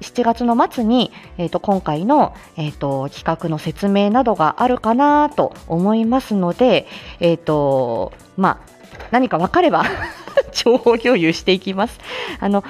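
Woman chatting with lively, swooping pitch. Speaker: host of a weekly morning livestream; speech-language therapist. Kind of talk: casual chatty livestream talk. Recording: faint music behind the voice. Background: faint background music.

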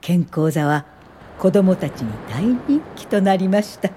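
An actress playing a gentle older social worker, narrating her memories in a measured radio drama style. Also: on the low side, animated, background noise. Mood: reflective. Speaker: narrator and lead character.

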